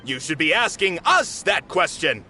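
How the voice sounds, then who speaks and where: A man's voice: a little high, sing-song, measured pace, pushed and bright, faint music behind, exaggerated voice. An actor voicing an outspoken young man from a theater troupe in a game. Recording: game cutscene with faint score.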